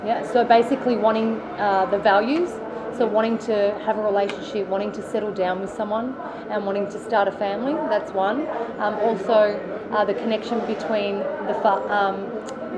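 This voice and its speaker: A woman with an Australian accent, an actress answering interview questions in a relaxed, chatty way with lots of fillers and restarts. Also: medium pitch, normal speed, highs cut off, over a noisy crowd. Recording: loud background noise.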